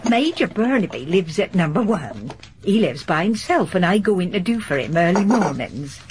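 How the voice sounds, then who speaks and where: A chatty, folksy village landlady, gossiping in a radio drama. Her voice sits a little low for a woman and swings freely up and down. There is a faint hiss on the recording. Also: big pitch swings, moderate pace. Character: gossipy, garrulous older village landlady and charwoman. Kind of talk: broadcast radio drama acting.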